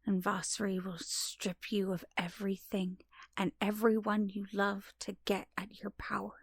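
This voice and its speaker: A grave, mysterious mother, acted by a woman in a fantasy audio drama. She speaks slowly and portentously, in a breathy near whisper at middling pitch, with a dry, close sound. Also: little pitch movement, no room sound. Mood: grave warning. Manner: slow, portentous. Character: mysterious mother, grave and urgent mystic messenger.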